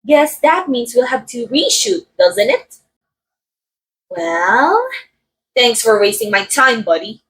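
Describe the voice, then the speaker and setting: A woman's voice: a little high, big pitch swings, slow pace, long pauses, fairly bright tone, full character voice. A woman acting as a haughty, catty film actress in an audio roleplay, with a theatrical, sardonic and condescending delivery. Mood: irritated, dismissive. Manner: theatrical, sardonic, drawling some words for emphasis. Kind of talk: ASMR roleplay monologue, voice acting.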